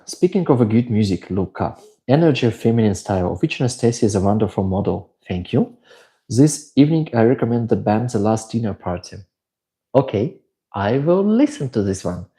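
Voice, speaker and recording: A man's voice: on the low side, warm tone. A middle-aged man from Switzerland with a German accent, chatting casually as a livestream host, reading out viewer questions and answering at length. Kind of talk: casual live Q&A chat.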